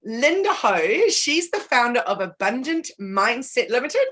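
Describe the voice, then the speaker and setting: A woman's voice: a little high, big pitch swings, measured pace, a bit bright, slightly rough. Chatty Kiwi woman hosting a podcast. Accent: New Zealand. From New Zealand. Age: 40s. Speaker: podcast host. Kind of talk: casual video-call interview. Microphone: video call.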